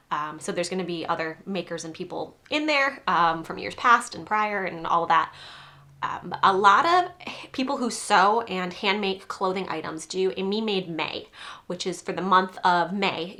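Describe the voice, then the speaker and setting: Woman crochet vlogger with a slightly congested voice from a cold, bright and chatty, rambling and laughing as she goes. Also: on the low side. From New England. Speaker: crochet designer and podcast host. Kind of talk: casual chat to camera.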